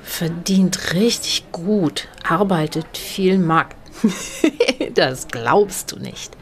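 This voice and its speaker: A woman voicing a picky, excitable young woman who judges possible dates. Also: on the low side, extremely slow. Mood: excited.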